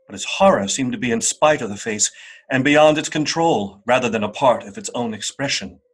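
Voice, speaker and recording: A man narrating a radio play as a dramatic storyteller, with theatrical, expressive and deliberate phrasing. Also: medium pitch, breathy edge.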